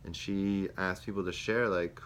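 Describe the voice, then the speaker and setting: A young man, a student teacher, reflecting aloud on a lesson he taught, with many pauses and fillers. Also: low voice, steady tone, a bit bright. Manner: hesitant, many ums and restarts. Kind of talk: spoken self-reflection. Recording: faint background noise.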